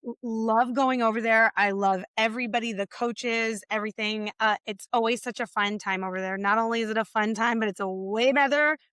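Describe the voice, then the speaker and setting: Slightly raspy, enthusiastic woman. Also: a little high, steady tone, fairly quick, a bit bright, no room sound, studio quality. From California. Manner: friendly, enthusiastic, rambling. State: losing her voice from overuse. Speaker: podcast co-host, rules director. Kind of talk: casual podcast chat.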